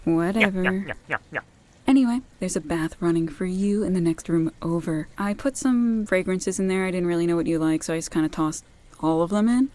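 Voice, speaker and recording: A woman's voice: lowish voice, moderate pace, warm tone, close to an everyday voice. A woman playing a flippant, chatty maid in a vampire household for an ASMR roleplay, casual and offhand.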